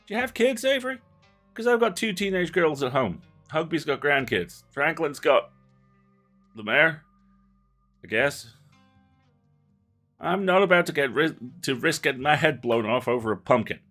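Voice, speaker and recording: A man's voice: a little high, lively intonation, moderate pace, long pauses, a bit bright, faint music behind, voice pushed up. A man voicing a dismissive middle-aged small-town sheriff in a game, folksy, blunt and weary. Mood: blunt, defensive. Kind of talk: reading game dialogue aloud.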